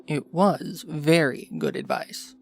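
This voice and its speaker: Creaky-voiced, cocky captive joker played by a man. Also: fairly high pitch, huge pitch swings, slow pace, darkish tone, no room sound, clean studio sound, soft background music, exaggerated voice. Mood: evasive, wry. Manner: wry, mock-dramatic. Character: cocky, flirtatious captive male filmmaker, joking under interrogation. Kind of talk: audio fiction dialogue.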